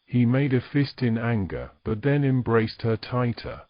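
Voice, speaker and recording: A man's voice: medium pitch, measured pace, no echo, lo-fi sound. A man narrating a scripted fantasy story in a dramatic storytelling style, close on the microphone.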